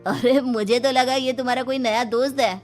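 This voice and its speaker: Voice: high-pitched, steady tone, normal speed, soft background music, lightly acted. An actor voicing a jovial, teasing young male friend in a cartoon story. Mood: puzzled.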